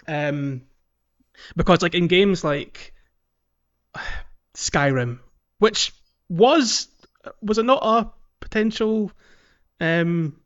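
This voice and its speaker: A man's voice: high-pitched, drawn-out words, a bit bright. A man co-hosting a podcast, chatting casually about video games in a meandering, hesitant way. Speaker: podcast co-host. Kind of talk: casual video game discussion. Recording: slightly dull, lo-fi, music behind. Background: background music.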